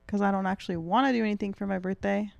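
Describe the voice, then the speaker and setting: A woman about thirty talks casually as a podcast co-host. Her voice is noticeably creaky at a middling pitch, and her delivery is blunt and drily self-deprecating. She chats like she is among friends. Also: fairly even intonation, rapid-fire, darkish tone, no echo, highs cut off. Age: 29, turning thirty. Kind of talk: casual banter between friends.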